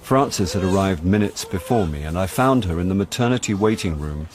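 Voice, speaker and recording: A man's voice: sits low, lively intonation, brisk. An actor playing a wry, self-deprecating middle-aged man, an amateur sleuth, in a radio drama. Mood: wry, rueful. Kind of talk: radio drama acting with first-person narration.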